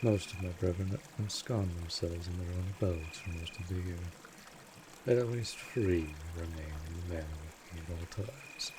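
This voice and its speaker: A man playing a cold, possessive vampire master in an audio drama, speaking in a formal, commanding, measured way. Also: sits low, background noise, close to an everyday voice. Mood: haughty, measured. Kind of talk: scripted roleplay audio drama.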